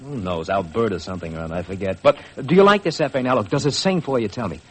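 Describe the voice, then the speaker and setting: An American man playing an excitable, wheedling publicity agent in 1950s radio drama style. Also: fairly low pitch, fast talker. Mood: insistent. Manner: wheedling, salesman-like, insistent. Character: pushy, excitable, chuckling Hollywood publicity man. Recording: noisy vintage 1950s radio recording.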